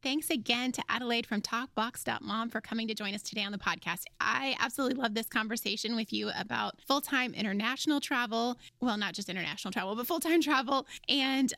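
A woman's voice: on the high side, fast talker, few pauses, pushed and bright, no echo, clean studio sound. An American woman hosting a podcast, talking in a casual, chatty and informal way. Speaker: podcast host.

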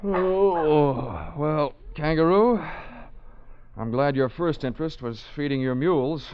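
An actor playing a wry, wisecracking male insurance investigator, narrating in a glib, deadpan 1940s radio detective style. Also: on the high side, slow, slight background noise. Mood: dry, sympathetic. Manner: glib, deadpan reading of expense items. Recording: muffled old 1940s radio recording.

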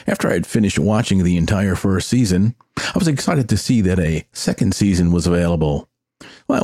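A man's voice: deep voice, dark tone, breathy edge, no room sound, clean studio sound. An older man hosting a solo podcast, giving personal, opinionated commentary in a conversational way. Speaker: podcast host.

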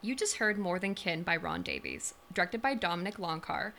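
A woman's voice: lowish voice, huge pitch swings, normal speed, pushed and bright, a little hiss or hum. A woman announcer reading scripted closing credits for an audio drama.

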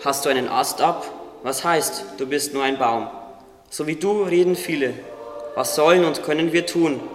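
A man's voice: on the high side, moderate pace, natural voice. A man playing a wise, modest winged creature who encourages a friend, earnest and instructive, in a religious radio play. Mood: encouraging. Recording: echoey, long reverb, noisy ambience.

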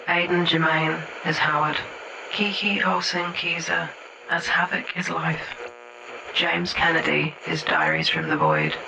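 A man with a gravelly voice reading scripted end credits as an announcer, sounding distant under music. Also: a little high, background noise.

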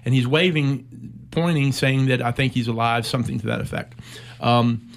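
Gravelly middle-aged man telling war stories. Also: mid-range voice. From United States. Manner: measured, reflective storytelling with frequent pauses. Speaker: military veteran guest. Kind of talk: personal war story in a conversational interview.

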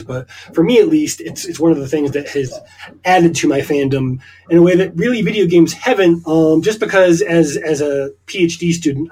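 A man's voice: fairly high pitch, darkish tone, husky. A man in his early forties from Eastern Connecticut, talking in a reflective, rambling way on a casual podcast. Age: 40s. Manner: reflective, rambling with hedging asides. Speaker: podcast co-host.

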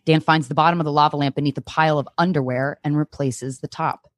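A woman's voice: sits low, steady tone, quick pace, no room sound, studio quality. A woman comedian guesting, chatting casually and reading screenplay stage directions aloud.

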